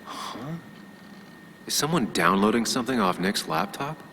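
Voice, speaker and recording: Man in his thirties, deep voice, brooding, loyal, measured game acting, light ambient noise. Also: sing-song, vocal fry, bright, forceful tone, full character voice. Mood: puzzled. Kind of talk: naturalistic video game acting.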